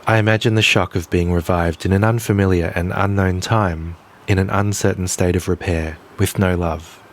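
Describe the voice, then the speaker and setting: A man with a very low voice reads literary fiction in a measured, quiet way. Clear background noise sits behind his voice. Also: fairly even intonation. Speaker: writer reading own short story. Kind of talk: literary short story reading.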